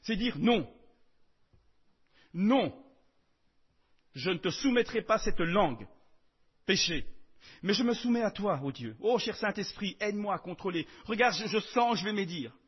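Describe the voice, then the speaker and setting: A man preaching a sermon in French, emphatic, swinging between loud declarations and soft asides. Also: sits high, expressive, a little slow, long pauses, slightly rough, highs cut off.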